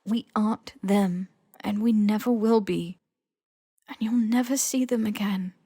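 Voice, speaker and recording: Woman voicing a gentle, careful young witch. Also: mid-range voice, steady tone, unhurried, breathy edge, dry recording, clean studio sound.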